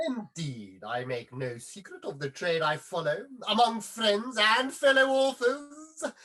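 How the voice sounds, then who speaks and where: Woman acting a flamboyant, smug gentleman self-promoter. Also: medium pitch, expressive, measured pace. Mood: smug, effusive. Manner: pompous, effusive, florid. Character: flamboyant, self-advertising male professional puffer, theatrical and smug. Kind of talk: theatrical period comedy play reading.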